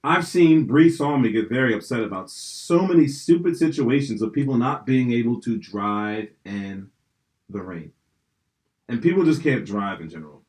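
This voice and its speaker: Young male podcast host, lively swooping delivery, cusses freely, rambles through stories. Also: mid-range voice, normal speed. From Pennsylvania. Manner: profane, rambling, animated storytelling. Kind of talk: casual chat.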